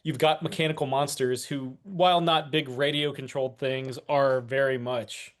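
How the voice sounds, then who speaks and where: A man's voice: medium pitch, steady tone, normal speed. A man co-hosting a podcast in casual chat, with loose, rambling asides. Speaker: podcast co-host.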